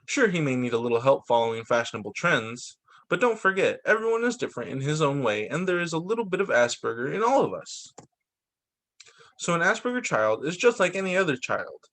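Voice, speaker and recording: Animated male teacher reading aloud, fairly high voice. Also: brisk. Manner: expressive reading with short spoken asides. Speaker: teacher reading picture books to pupils. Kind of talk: read-aloud story time for children.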